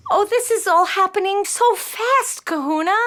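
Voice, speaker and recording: A woman's voice: very high voice, normal speed. A woman voicing an eager, brainy, curious nine-year-old girl in a children's audio play. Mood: excited, amazed. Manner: excitable, stammering when startled.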